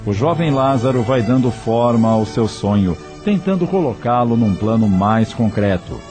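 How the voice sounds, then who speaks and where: A man narrating a radio drama from a script in an expressive, literary storytelling manner. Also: mid-range voice, moderate pace, over music.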